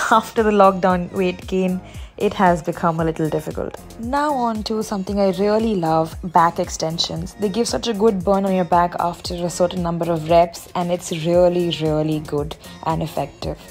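A woman's voice: on the low side, moderate pace. A young woman with an Indian accent, talking casually as a fitness vlogger. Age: young adult. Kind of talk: casual vlog narration. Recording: music behind the voice.

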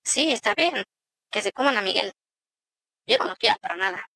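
Giggly squeaky girl voiced by a man through a voice changer, gravelly artifacts, dry close sound. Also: expressive, normal speed, pushed and bright, no echo, heavily acted. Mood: teasing. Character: giggly high-pitched girlish voice "Cortana". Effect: voice changer pitch shift.

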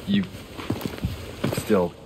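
An actor playing a troubled, gentle young man in a video game drama, with a hesitant, concerned, soft-spoken delivery. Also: extremely slow, noisy background. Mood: cautious, concerned. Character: troubled, gentle man searching a haunted town.

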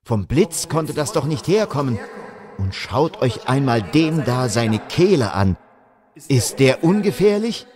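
A man's voice: mid-range voice, moderate pace, few pauses, crowd noise, close to an everyday voice. A man voicing a shaken soldier, agitated and insistent. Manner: agitated, urging others to look.

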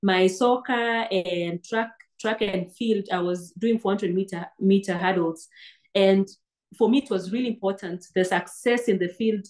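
A woman's voice: mid-range voice, a little slow, darkish tone. Kenyan woman in her thirties, earnest interview guest on a webcam, talks in restarts. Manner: earnest, restarts words often. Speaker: guest, PhD graduate. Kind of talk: interview over video call. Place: indoor room, seated on sofa. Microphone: laptop webcam on video call.

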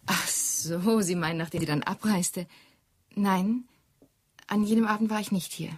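An actress playing a self-assured, well-mannered young secretary, formal and evasive, in a radio play. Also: female voice, mid-range voice, a little slow, husky. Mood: guarded. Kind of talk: German radio drama dialogue.

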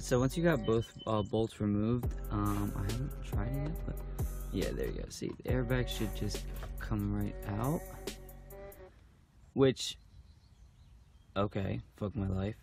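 Young man with a hoarse voice. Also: on the low side. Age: young adult. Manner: chatty and informal, with frequent ums. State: hoarse, slightly raspy voice. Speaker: car vlogger. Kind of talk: casual how-to vlog. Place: outdoors on a porch, then inside a parked car.

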